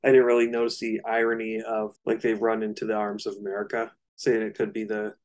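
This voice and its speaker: A man co-hosting a casual discussion of music, speaking in hesitant, halting phrases. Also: fairly low pitch, monotone, a little mellow. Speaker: music discussion podcast co-host.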